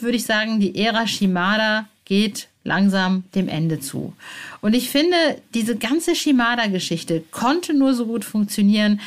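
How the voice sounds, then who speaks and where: A woman with a medium-pitched voice hosts a podcast. She talks informally and with gushing enthusiasm, as a fan would. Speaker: podcast host and interviewer. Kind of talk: casual, enthusiastic podcast talk.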